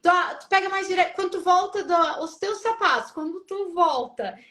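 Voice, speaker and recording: Chatty woman host, high voice, video call interview. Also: normal speed, pushed and bright, a little hiss or hum. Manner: chatty, frequent "né?" tag questions. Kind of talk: casual live interview. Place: home office.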